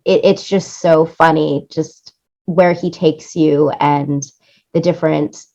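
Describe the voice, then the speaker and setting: Female interview guest, lowish voice, effusive and emphatic with repeated phrases, on slightly muffled lo-fi audio. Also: fairly even intonation, slow, dark tone. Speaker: interview guest, music supervisor and producer. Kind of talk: enthusiastic interview conversation.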